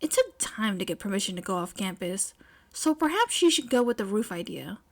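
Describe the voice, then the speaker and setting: A woman reading fan fiction aloud as expressive, scripted story narration. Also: medium pitch, a little fast. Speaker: fan fiction podfic reader.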